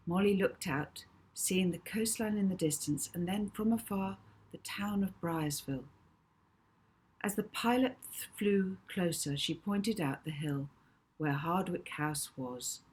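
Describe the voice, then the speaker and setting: Woman narrating a kids audiobook, lowish husky voice, expressive storyteller. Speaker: author reading own children's novel.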